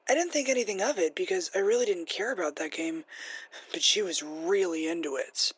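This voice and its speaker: An actress playing a subdued teenage girl in an interview, with a husky, breathy voice. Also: lowish voice, brisk. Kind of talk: interview in an audio drama.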